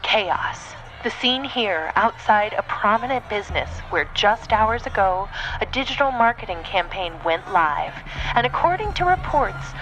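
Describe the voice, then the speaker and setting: A woman's voice: medium pitch, natural voice, poor audio. A woman playing a dramatic, urgent TV field reporter in a mock news report. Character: dramatic female TV field reporter at disaster scene.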